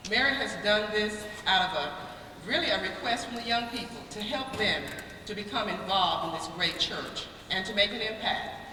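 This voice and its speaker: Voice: mid-range voice, pushed and bright, slight background noise. Formal speaker echoing through a big hall. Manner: formal, halting, with restarts. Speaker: worship leader presenting an award. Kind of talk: public address from a stage. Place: large indoor hall. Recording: echoey arena heard from far off.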